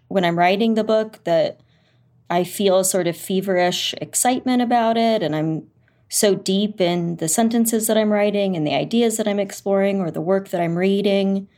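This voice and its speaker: Female author guest, mid pitch, candid and reflective. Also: a little mellow. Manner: thoughtful, candid, searching for words. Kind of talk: conversational interview.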